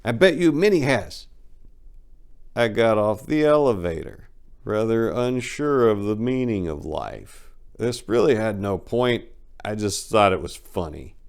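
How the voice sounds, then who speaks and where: Low-voiced man narrating a brash memoir. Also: expressive, measured pace, gravelly, a little mellow, clear, resonant tone. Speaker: book narrator. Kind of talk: dramatic first-person memoir reading.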